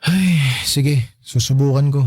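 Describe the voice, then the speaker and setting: A man's voice: medium pitch, slow, a little mellow, dry recording, clean studio sound. A young man with a Filipino accent acting a hurt, forgiving son and fiancé in a radio drama, earnest and resigned. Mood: earnest, tender. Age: young adult.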